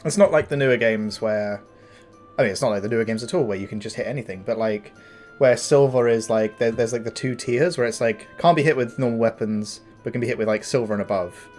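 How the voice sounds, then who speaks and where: A man with a gravelly morning voice, casually commenting on his game as he plays, thinking aloud with fillers and restarts. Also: medium pitch, quick pace, darkish tone, faint music behind. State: tired morning voice. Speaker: gameplay commentator. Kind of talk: casual let's-play commentary.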